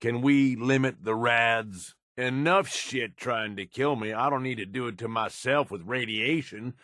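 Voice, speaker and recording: A man voicing a cynical, ruthless raider henchman in a video game, with a rough husky voice and a folksy, slangy, sardonic drawl. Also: medium pitch, unhurried, a bit bright, no echo, studio quality, natural voice. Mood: disapproving, sarcastic. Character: gruff cynical ruthless male raider henchman in a post-apocalyptic wasteland.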